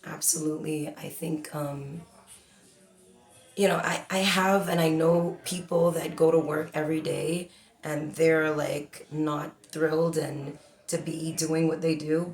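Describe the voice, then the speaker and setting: A woman's voice: deep voice, flat delivery, unhurried, a little mellow, echoey room. A woman in her forties from the Bahamas, a guest in an interview, chatty and talking at length with lots of fillers. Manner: chatty, talks a lot with many fillers. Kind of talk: casual interview.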